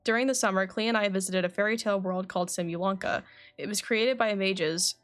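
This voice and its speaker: A woman's voice: mid-range voice, brisk, faint music behind. A woman livestreaming a game, chatting informally and reading game dialogue aloud. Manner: chatty, informal, says "like" often.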